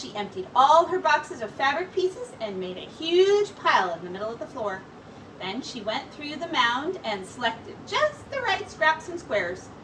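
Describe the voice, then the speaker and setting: A woman teacher reading a picture book aloud to young children in an expressive, animated storytelling manner with dramatic pauses. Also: on the high side. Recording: distant mic, faint background noise.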